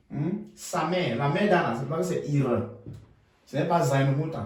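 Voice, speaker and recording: A man from Cameroon teaching German in French, repeating phrases slowly and adding checking tags like "hmm?". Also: sits high, darkish tone, very echoey, far from the mic. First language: Ngamba. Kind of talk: bilingual language lesson.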